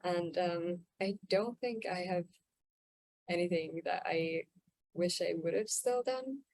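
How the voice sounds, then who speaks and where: A young woman from Finland answering a panel question on a video call, hesitant with many pauses and "um"s. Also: lowish voice, a little mellow, no room sound. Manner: hesitant, frequent "um" and "uh". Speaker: student panelist.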